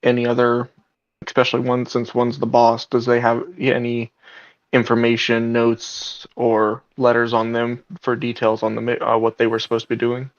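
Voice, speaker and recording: A man's voice: mid-range voice, completely flat delivery, moderate pace. A man playing a tabletop game, talking casually through his moves, with a dull, muffled sound.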